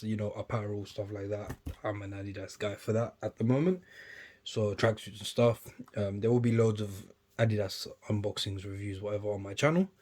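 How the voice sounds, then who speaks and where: A young man, casually chatting and rambling through an unboxing on his vlog, often trailing off quietly between livelier bursts. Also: on the low side, slight background noise. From United Kingdom. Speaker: sneaker YouTuber.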